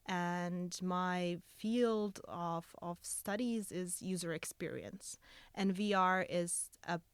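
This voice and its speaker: Young woman, Croatian accent, smooth resonant tone, eager researcher guest, dry recording. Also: fairly low pitch, slow pace, no echo. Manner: earnest, eager, slightly broken English phrasing. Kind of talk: casual interview talk.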